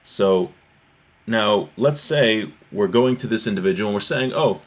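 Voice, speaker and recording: A man's voice: on the low side, expressive, measured pace. A man giving an informal religious lesson, reading from a source text and explaining it conversationally with everyday examples. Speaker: religious teacher giving a class.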